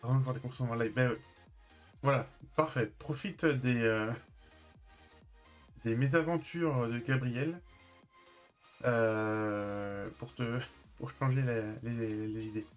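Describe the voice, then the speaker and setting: A man's voice: medium pitch, slow pace, long pauses. Casual man streaming with music behind. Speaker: streamer playing a roleplay game. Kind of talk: casual gameplay commentary and in-character chat.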